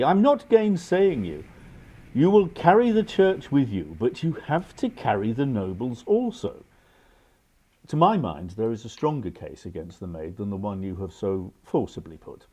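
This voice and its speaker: A man's voice: medium pitch, big pitch swings, normal speed, dark tone, lo-fi sound. A man playing a suave, cynical English earl in a radio drama, with an urbane, dry, politely sardonic manner. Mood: worldly, persuasive. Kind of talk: stage-style radio drama acting.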